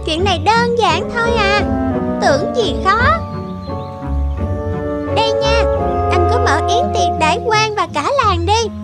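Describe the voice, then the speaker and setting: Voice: very high-pitched, unhurried, pauses often. An actor voicing a clever, confident little rabbit judge in a children's fairy-tale cartoon, speaking in a reassuring, articulate way. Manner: self-assured, reassuring, articulate. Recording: loud music under the voice.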